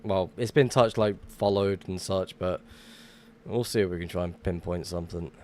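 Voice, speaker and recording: A man's voice: low-pitched, moderate pace, mellow, slight background noise. A young man chatting casually while livestreaming a game, rambling and swearing now and then as he greets viewers. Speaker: livestream gamer. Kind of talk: casual live-stream chat while gaming.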